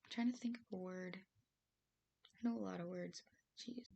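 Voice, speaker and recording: A woman with a raspy voice streaming as she writes, thinking aloud hesitantly with many fillers and soft laughs. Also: lowish voice, normal speed, lo-fi sound. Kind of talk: casual live-stream musing while writing. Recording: faint hiss behind the voice.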